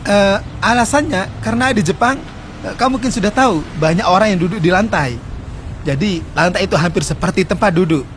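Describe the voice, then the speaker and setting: A man in his forties talks quickly and earnestly as he explains customs in an interview. His voice sits high for a man and comes at a fast clip. Faint noise runs behind him. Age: 40s. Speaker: interview guest. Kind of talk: explaining customs in casual conversation.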